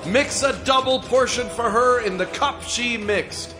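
A man's voice: very high-pitched, measured pace, pushed and bright, faint music behind, full character voice. A man playing a stern voice from heaven in a dramatized audio Bible, solemnly commanding and pronouncing judgment.

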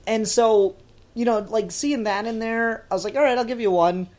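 A man's voice: high voice, fairly even intonation, moderate pace, darkish tone. A man hosting a casual fan review discussion, talking in an enthusiastic, opinionated, analytical way. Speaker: podcast host.

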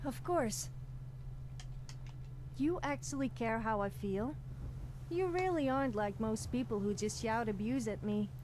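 A woman's voice: on the high side, unhurried, pauses often, darkish tone, a little hiss or hum, natural voice. A woman playing a cheeky, needy, self-deprecating young adventurer companion in a video game, flippant and confessional. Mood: cheeky, wry. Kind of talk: scripted video game character dialogue. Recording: game audio with music behind.

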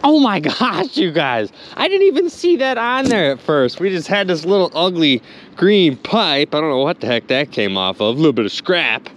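A man narrating his magnet fishing trip outdoors by a river, chatty and excitable, constantly talking to his viewers. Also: a little high, moderate pace, slight background noise. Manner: excitable, chatty, keeps addressing viewers as "you guys". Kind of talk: excited outdoor vlog commentary.

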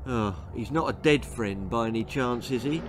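A man's voice: mid-range voice, normal speed, background noise, close to an everyday voice. A man voicing an eager, sceptical young schoolboy runner in a storybook, questioning and indignant. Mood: puzzled.

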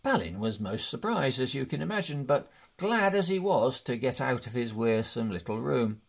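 A man's voice: mid-range voice, big pitch swings, moderate pace, creaky. A man reading a fantasy story aloud as scripted narration. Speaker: audiobook reader.

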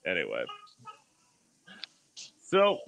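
Man, podcast host, chatty and rambling, low bright voice, video-call headset. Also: huge pitch swings, drawn-out words, vocal fry. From South Florida. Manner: rambling, lots of fillers and laughs. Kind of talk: casual video-call chat. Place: home room with lamps.

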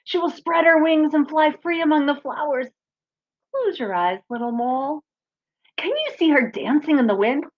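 A woman voicing a patient mother mole in a storybook read-aloud, speaking softly and tenderly. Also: high voice, normal speed, voice pushed up, close to an everyday voice. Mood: soothing. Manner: soft, tender. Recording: dull lo-fi recording.

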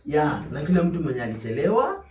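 A man's voice: fairly high pitch, wildly swooping pitch, fast. A man in his twenties with a Kenyan accent in a casual phone conversation, mixing Swahili and English. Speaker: boyfriend on a phone call. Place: living room. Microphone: camera across the room. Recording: distant echoey room with clear noise.

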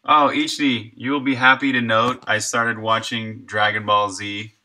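A man's voice: fairly low pitch, little pitch movement, a little slow, pushed and bright. An American man in his thirties chatting casually and in a laid-back, slangy way while live-streaming his game development work. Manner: laid-back slangy talk, chuckles easily.

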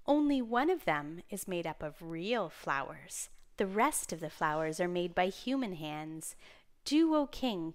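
A woman's voice: medium pitch, close to an everyday voice. A woman voicing a formal, challenging queen in a children's story, with stately phrasing.

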